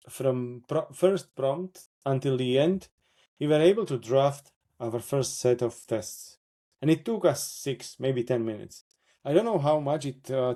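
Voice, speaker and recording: Male workshop presenter, Slovak accent, dark relaxed tone, halting and full of restarts. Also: mid-range voice. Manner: halting, many fillers and self-corrections. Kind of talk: live software demo walkthrough.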